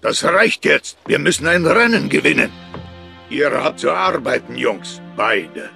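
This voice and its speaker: An actor playing a brisk racing team boss in a German-dubbed video game, gravelly, curt and authoritative. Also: male voice, fairly high pitch, big pitch swings, pushed and bright, soft background music, heavily acted. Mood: commanding.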